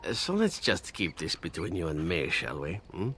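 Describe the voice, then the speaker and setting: A sly, roguish assassin from a fantasy game. His low voice moves with lively, swinging intonation as he explains things in a smooth, flippant way. Faint music plays behind him. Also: normal speed, exaggerated voice. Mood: conspiratorial. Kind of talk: video game character dialogue.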